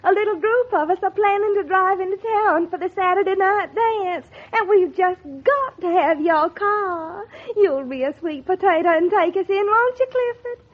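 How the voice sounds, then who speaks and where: An actress playing a syrupy, flirtatious Southern belle with a Southern US accent, gushing and cooing in 1940s radio style. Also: female voice, very high voice, moderate pace, few pauses. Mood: sweet wheedling. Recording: tinny, band-limited 1940s radio recording.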